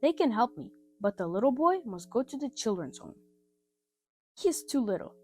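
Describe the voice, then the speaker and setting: A cross, grudging baker's wife out of a children's storybook, as a woman reader puts her on. She is brisk and questioning, fairly high in pitch with big rises and falls, over faint music. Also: very animated, a little mellow. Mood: cold, practical.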